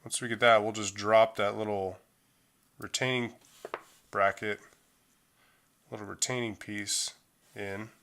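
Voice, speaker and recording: A middle-aged man talks through a phone repair as a hands-on tutorial. His voice sits a little low, but it sounds bright and forward. His delivery is casual and unpolished, full of fillers and restarts. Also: a little slow, creaky, pauses often. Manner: relaxed, loose delivery with frequent fillers.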